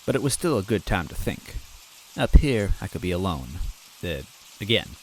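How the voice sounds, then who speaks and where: Low-voiced man softly reading a story. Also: big pitch swings, moderate pace, a little hiss or hum, poor audio. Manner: soft, wistful, gently comic. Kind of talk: first-person story reading.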